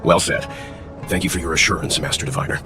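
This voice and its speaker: A man acts a resolute male adventurer with a very deep voice. He is courteous and steady, a game character speaking over clear music. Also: quick pace, lightly acted. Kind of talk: scripted video game voice acting.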